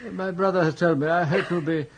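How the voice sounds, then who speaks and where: An actor playing a vague, timid elderly earl in a radio comedy, hesitant and bumbling, with an upper-class English accent. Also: male voice, sits high, steady tone, fairly quick. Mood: vague, polite. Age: older. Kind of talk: British radio comedy drama.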